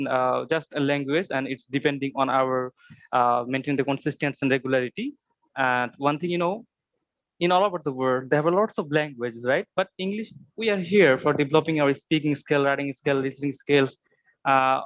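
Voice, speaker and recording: A man's voice: fairly high pitch, dry recording, muffled recording. Earnest Bangladeshi man, fillers and restarts. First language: Bengali. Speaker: English learner. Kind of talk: casual discussion.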